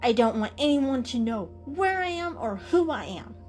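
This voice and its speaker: A woman's voice: high-pitched, sing-song, normal speed, darkish tone, soft background music, pitched above the performer's own voice. A woman voicing a dramatic, frustrated male house cat in a storybook reading, emphatic and indignant.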